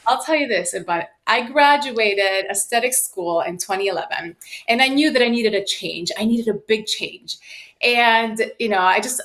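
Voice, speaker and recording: Woman, late thirties, interview guest, personal storytelling, animated and emphatic delivery, mid-range voice. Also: medium pitch. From United States and Sweden. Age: late 30s. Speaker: podcast guest, sales professional.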